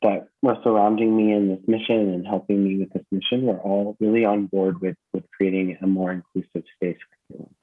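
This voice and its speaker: Male interview guest, fairly low pitch, reflective and sincere, feeling for words, tinny call-quality audio. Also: little pitch movement. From San Francisco Bay Area, United States. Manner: earnest, thoughtful, halting with repeated words. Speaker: gym founder, interview guest. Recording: muffled phone-like call audio.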